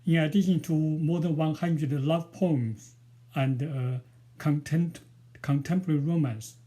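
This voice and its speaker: A man in his sixties with a Chinese accent, Mandarin his first language, introduces his book before reading from it. He speaks very slowly and haltingly, with many pauses. His voice is mellow and sits a little high for a man. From China. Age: 60s. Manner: hesitant and careful, with frequent pauses and fillers. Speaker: author.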